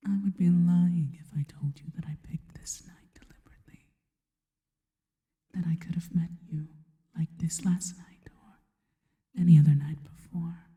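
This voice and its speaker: A woman playing a seductive, sinister spider-woman in an ASMR roleplay, whispering breathily and slowly with intimate, formal, adoring deliberation. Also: deep voice, mellow, muffled recording. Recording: long reverberant echo.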